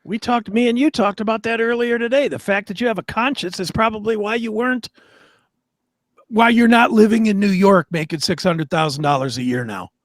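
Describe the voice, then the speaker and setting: A man's voice: sits high, brisk, no echo, clean studio sound. A man co-hosting a talk show in a casual, profane way, blunt and opinionated. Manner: blunt, opinionated, swears freely.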